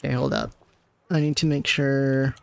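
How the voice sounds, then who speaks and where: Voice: mid-range voice, moderate pace, creaky, clear, resonant tone. A game streamer giving casual live commentary, with chatty asides to viewers and to the characters on screen.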